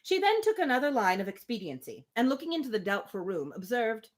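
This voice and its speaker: A woman's voice: a little high, big pitch swings, fairly quick. A woman reading a classic novel aloud expressively, breaking off for casual, chatty asides. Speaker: live book reader.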